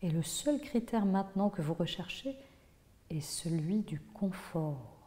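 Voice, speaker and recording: A woman teaching yoga nidra, guiding a relaxation in a measured, instructive way with pauses between phrases. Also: on the low side, expressive, dark tone. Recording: long hall-like reverb on voice.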